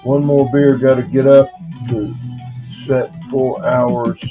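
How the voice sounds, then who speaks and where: A man's voice: mid-range voice, fairly even intonation, slow pace, over music. A man hosting a casual, rambling livestream, muttering to himself in a folksy way as he talks. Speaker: livestream host showing vintage radios.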